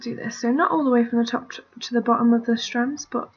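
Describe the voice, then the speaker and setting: Chatty British woman, husky and lo-fi. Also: fairly high pitch, fairly even intonation, fast. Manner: chatty, rambling, drops to mutters and whispers. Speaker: art tutorial creator. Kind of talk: casual screen-recorded drawing tutorial. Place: at her family home, indoors. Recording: dull lo-fi home recording.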